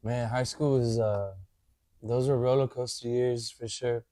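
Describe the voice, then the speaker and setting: A man in his thirties, a podcast guest, talking in a relaxed way and often adding "you know". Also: mid-range voice, measured pace, no echo. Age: 30s. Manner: laid-back, leans on "you know" a lot. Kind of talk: casual chat.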